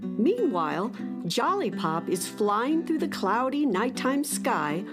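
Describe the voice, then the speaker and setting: A woman's voice: a little high, very animated, slow, over music. A woman narrating a children's space adventure in a dramatic storytelling manner.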